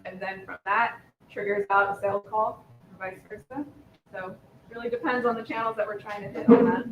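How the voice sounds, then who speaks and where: A woman's voice: medium pitch, steady tone, fairly quick, echoey room, soft background music, rough recording. A woman answering a question at a conference, laying out her points step by step. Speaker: conference guest speaker.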